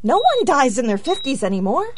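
An actress playing a worried wife at a hospital bedside in an audio drama, fretful, chiding and reassuring by turns. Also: female voice, sits high, huge pitch swings, brisk. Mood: anxious, reassuring. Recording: noisy, with beeping hospital monitor. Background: electronic beeping, noise.

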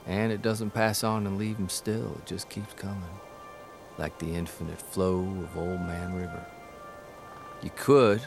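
A man performs a wistful grown-up farm boy turned writer in an audio drama. The voice is deep and crackly, measured and contemplative. Music plays clearly behind it. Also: exaggerated voice. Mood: weary. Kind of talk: audio drama, literary reflective reading.

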